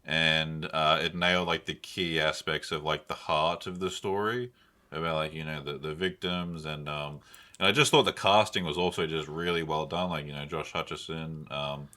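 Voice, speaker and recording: Deep-voiced man, casual podcast talk. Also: fairly even intonation, normal speed, pushed and bright. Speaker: podcast co-host. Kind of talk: casual film discussion.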